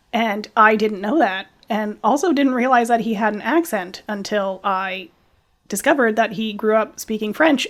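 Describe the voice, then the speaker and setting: A woman hosting a podcast, listening closely and chatting in a relaxed, conversational way. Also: a little high. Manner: attentive, frequent "mm-hmm", laughs along. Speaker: podcast host. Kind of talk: casual interview chat.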